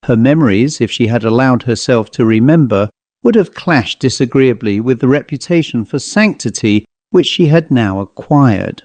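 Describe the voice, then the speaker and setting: A man narrating a classic ghost story in a measured, deliberate reading. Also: medium pitch, animated, darkish tone, no room sound. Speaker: audiobook narrator.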